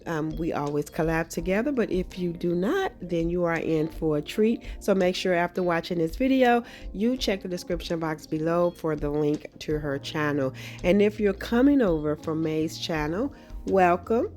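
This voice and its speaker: A woman hosting a craft tutorial, slightly hoarse from a cold, talking in a friendly, chatty way with frequent ums. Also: on the low side, expressive, moderate pace, darkish tone, soft background music. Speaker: craft channel host. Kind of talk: casual craft tutorial narration.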